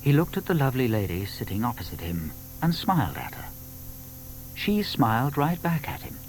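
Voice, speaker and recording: A man playing a genial, wry old tortoise who narrates a children's audio story in an expressive, confiding way. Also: mid-range voice, normal speed, a little hiss or hum, low-quality recording. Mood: hushed, suspenseful.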